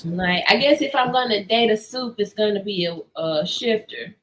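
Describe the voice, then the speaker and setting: A woman's voice: mid-range voice, moderate pace, rough recording. An American woman co-hosting a book discussion in casual conversation, with informal, slangy phrasing. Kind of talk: casual chat. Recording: noticeable background noise.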